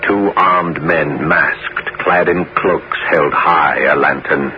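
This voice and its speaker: A man in a 1970s radio drama playing a sly narrator who recounts his own scheme in a measured way. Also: extremely low pitch, fairly even intonation, background noise. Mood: measured, knowing. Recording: dull 1970s radio broadcast. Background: music.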